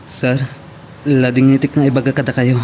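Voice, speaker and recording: A man's voice: medium pitch, flat delivery, normal speed, a little mellow, close to an everyday voice. A man acting a respectful, pleading heartbroken young man in an Ilocano audio drama. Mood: apologetic. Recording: background noise with vehicle ambience.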